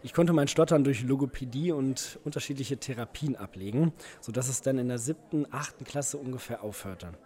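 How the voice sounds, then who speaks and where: A man's voice: mid-range voice, fairly quick, few pauses, mellow. Composed young male event host. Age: young adult. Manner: articulate, composed, reflective. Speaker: event host and rhetoric trainer. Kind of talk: interview.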